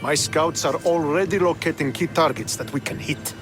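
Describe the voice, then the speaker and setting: An actor playing a stern, proud young Spartan military commander in a video game, speaking formally and with earnest authority. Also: male voice, a little high, normal speed, close to an everyday voice. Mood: commanding, businesslike. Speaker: video game character, army officer. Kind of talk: dramatic video game cutscene acting. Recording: game soundtrack music behind the voice.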